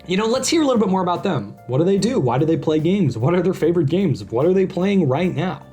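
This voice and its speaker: A man's voice: high-pitched, big pitch swings, quick pace, warm tone, slightly rough, faint music behind. A man speaking as a podcast host, introducing things to listeners in an informal, upbeat and enthusiastic way.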